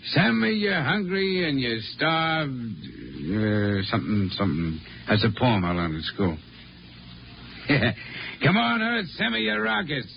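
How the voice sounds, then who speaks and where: An actor playing a brash, slangy, boastful hot dog seller in a 1950s radio drama. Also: male voice, mid-range voice, very animated, takes it slowly, gravelly, heavily acted. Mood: cocky. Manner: folksy, slangy, swaggering. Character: brash, boastful small-time hot dog stand owner. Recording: dull 1950s radio recording, faint noise.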